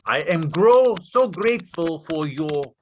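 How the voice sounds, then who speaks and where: An actor playing a desperate Russian scammer in staged readers theater, speaking halting broken English with a Russian accent. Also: male voice, on the high side, animated, a little hiss or hum. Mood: pleading, ingratiating. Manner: halting broken English, muttering asides to himself. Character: desperate Russian male scammer posing as Nigerian suitor.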